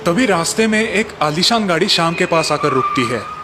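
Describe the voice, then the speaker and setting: A man reading scripted storybook narration in a measured storytelling manner. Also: a little high, expressive, noisy background.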